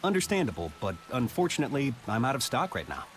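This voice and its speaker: A man's voice: mid-range voice, very animated, fast, faint music behind, close to an everyday voice. A man playing an affable, helpful fantasy town apothecary in a video game, speaking conversationally.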